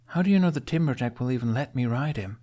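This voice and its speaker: A man's voice: medium pitch, expressive, fast, mellow, pitched above the performer's own voice, close to an everyday voice. A man voicing a skeptical young woman warrior in an audiobook, with a pointed, insistent manner. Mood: challenging. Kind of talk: audiobook character dialogue.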